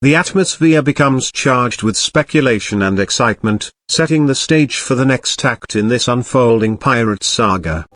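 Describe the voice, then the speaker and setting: A man's voice: mid-range voice, expressive, moderate pace, hardly any pauses, a bit bright. A man narrating an audio drama in a scripted, present-tense storytelling style.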